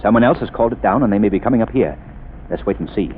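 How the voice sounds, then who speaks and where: A man playing a calm, wealthy amateur sleuth in a 1930s radio drama, measured and commanding. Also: on the low side, lightly acted. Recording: tinny old radio broadcast with faint hiss.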